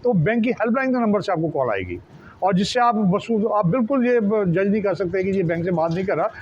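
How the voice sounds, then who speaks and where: High-pitched Pakistani man, halting explainer. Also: very animated, a little mellow, husky. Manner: halting, wordy, repeats and restarts words often. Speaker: senior journalist, interviewee. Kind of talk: explanatory interview answers. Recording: dull lo-fi sound, faint background noise.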